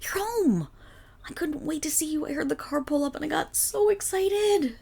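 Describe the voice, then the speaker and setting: Woman voicing an eager, high-pitched young doggirl. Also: expressive, moderate pace, vocal fry, a little mellow. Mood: excited, eager. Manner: eager, bubbly. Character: excitable, affectionate, silly young doggirl companion. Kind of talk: ASMR roleplay.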